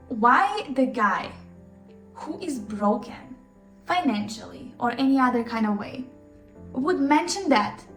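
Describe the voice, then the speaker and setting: A young woman with a Ukrainian accent, telling dating stories in a casual, animated vlog style with lots of restarts. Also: sits high, distant mic. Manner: animated, acts out dialogue, frequent restarts. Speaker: vlogger. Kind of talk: casual storytelling to camera. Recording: echoey room, voice off-mic, faint music.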